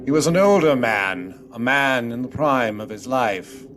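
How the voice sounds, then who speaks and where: A man narrating a radio play in a measured, scripted storytelling style. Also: mid-range voice, animated, fairly bright tone. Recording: music behind the voice.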